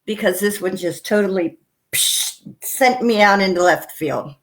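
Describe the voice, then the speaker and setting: A woman host gushes with enthusiasm and breaks into laughter often. A cold has left her voice deeper and rougher than usual, though her pitch stays in an ordinary middle range. She talks in quick, eager bursts. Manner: gushing and excitable, laughs often. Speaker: show host. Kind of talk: casual interview chat.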